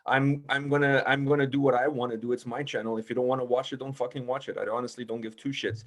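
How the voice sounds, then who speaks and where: A man's voice: mid-range voice, little pitch movement, fast. A man talking casually in a roundtable discussion, blunt and emphatic, swearing freely. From Portugal. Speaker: music interviewer with own video channel.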